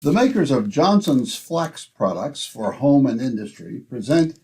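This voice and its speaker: A man's voice: medium pitch, big pitch swings, a little slow, over music, low-quality recording. A man announcing in old-time radio style, with polished, salesmanlike phrasing.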